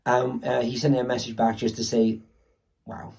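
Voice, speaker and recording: UK man, croaky gravelly reseller, mellow dark tone, rambles, speaks from off the mic. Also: medium pitch, little pitch movement, normal speed, distant mic. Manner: rambling, repeats words, self-correcting. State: sore throat. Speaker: co-host, luxury reseller. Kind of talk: casual chat to camera.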